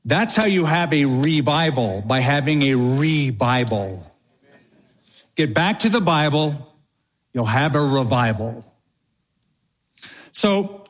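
A man's voice: a little high, big-room sound. A man preaching an expository sermon from the pulpit of a church, driving points home with repeated phrases. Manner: emphatic, repeats key phrases. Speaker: pastor. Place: church sanctuary.

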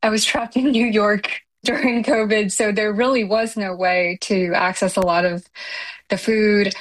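A woman from Taiwan, a literary translator, giving articulate, measured answers in an interview and slowing down to stress key points. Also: mid-range voice, steady tone, poor audio.

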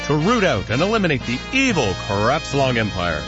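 A man's voice: medium pitch, huge pitch swings, moderate pace. A man voicing a pompous male starship captain in a comic radio ad, grandiose and dramatic. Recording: dull lo-fi radio audio with music.

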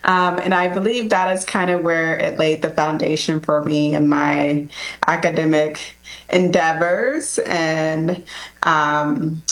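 A woman answering interview questions, unhurried and friendly. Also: low-pitched, fairly even intonation, rough recording. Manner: unhurried, friendly, often laughs lightly. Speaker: guest.

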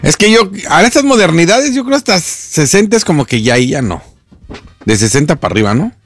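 A man's voice: on the high side, big pitch swings, a little fast, faint music behind. A man, co-hosting a radio chat in a playful, joking way. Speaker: radio co-host.

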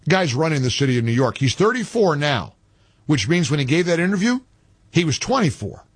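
A man hosting a talk radio show in a dry studio, his voice slightly husky and rising and falling a great deal. He argues his point hard and repeats phrases for emphasis. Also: a little high, big pitch swings, brisk, no echo. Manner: combative, repeats himself for emphasis.

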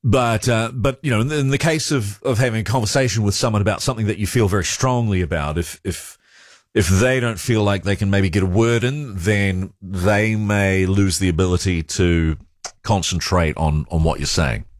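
A man with a New Zealand accent hosting late-night talkback radio, speaking in a measured, polite way with hesitant restarts. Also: on the low side, no room sound, studio quality.

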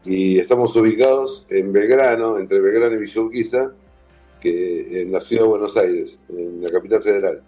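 Low husky Argentine man. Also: normal speed, faint music behind, poor audio. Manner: warm, chatty, explains with lists and repetition. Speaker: guest, whisky museum owner. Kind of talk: casual interview talk.

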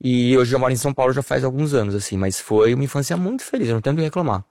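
A Brazilian man in his thirties, an interview guest, telling childhood memories in a warm, nostalgic way with many fillers. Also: mid-range voice, expressive, very fast, no echo, studio quality. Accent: Brazilian Portuguese. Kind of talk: relaxed personal conversation.

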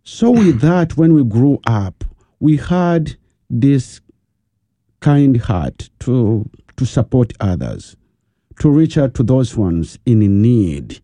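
A man's voice: mid-range voice, sing-song, slow, warm tone, no room sound. A man with a Ugandan accent, a pastor speaking as a guest on a radio talk show. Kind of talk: radio interview. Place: radio studio.

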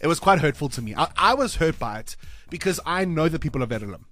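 A South African man hosts a breakfast radio show. His speech rises and falls a great deal and sits slightly high. He chats casually and laughs easily. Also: quick pace. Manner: lively, expressive, laughs easily. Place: radio studio. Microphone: studio microphone.

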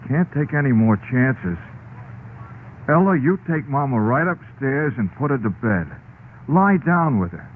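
An actor playing a folksy, solicitous husband and devoted son in an old radio drama, gently bossy in manner. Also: male voice, medium pitch, big pitch swings, measured pace. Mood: worried, solicitous. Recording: tinny old radio recording, faint noise.

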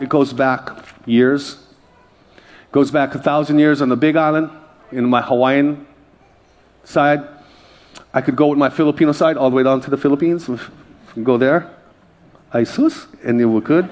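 A male pastor preaching a sermon with energy, reading scripture aloud and calling out to the congregation. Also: mid-range voice. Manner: energetic preaching with crowd call-outs.

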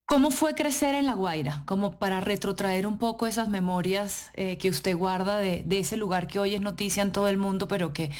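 A woman interviewer from Venezuela and Colombia asks her questions conversationally. Her voice sits at a middle pitch. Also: moderate pace, hardly any pauses, a little mellow.

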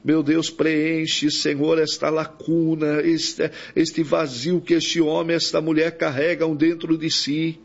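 Brazilian preacher praying in creaky, even tones. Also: male voice, on the high side, slow, few pauses. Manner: pleading, with frequent invocations. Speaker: preacher leading a prayer. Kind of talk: extemporaneous evangelical prayer. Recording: dull, lo-fi audio.

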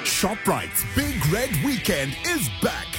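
A woman's voice: mid-range voice, sing-song, takes it slowly. A woman voicing a retail radio ad in a sing-song delivery. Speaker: ad voice-over. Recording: music bed under voice.

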